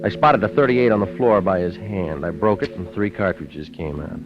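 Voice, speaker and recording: An actor playing a wisecracking private detective, deadpan and clipped, narrating his case in a vintage radio noir drama. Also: deep voice, moderate pace, over music, natural voice. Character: wisecracking, hard-boiled male private detective. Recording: dull vintage radio recording.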